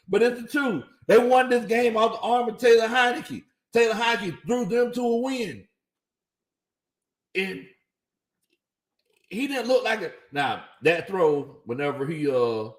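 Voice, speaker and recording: A man talking sports on a livestream, his fairly high voice a bit worn and tired. He keeps chuckling as he reads out chats, and the sound bounces around an echoey room. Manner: laughs often, chuckling through his lines. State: voice slightly worn out. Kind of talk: casual livestream commentary, reading viewer chats.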